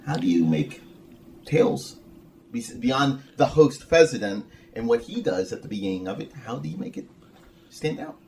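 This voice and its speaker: A man's voice: medium pitch, sing-song, moderate pace, a little mellow, recorded from a distance. A man hosting a casual conversational interview, asking wordy, meandering questions. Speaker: interviewer, podcast host. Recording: noisy room, slightly off mic.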